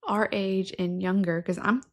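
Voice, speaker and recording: A woman's voice: medium pitch, steady tone, normal speed. A woman in her thirties co-hosting a casual podcast, earnest and enthusiastic, talking into a studio mic in an indoor room. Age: 30s. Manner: earnest, enthusiastic, self-described nerd. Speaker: podcast co-host. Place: indoor room with patterned wallpaper. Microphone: studio mic on stand, wearing headphones.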